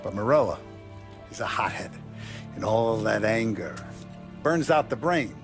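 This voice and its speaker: An actor playing a calm, authoritative mafia boss who lays down rules in a measured, lecturing way, in a game cutscene. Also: male voice, fairly low pitch. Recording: faint music behind.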